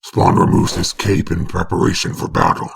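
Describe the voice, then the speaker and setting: Sardonic demon narrator, extremely croaky and hoarse-edged, dramatic comic storytelling. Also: male voice, deep voice, wildly swooping pitch, moderate pace, heavily acted. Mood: sardonic, sinister. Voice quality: gravelly, raspy. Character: sinister demonic male narrator, mocking and knowing.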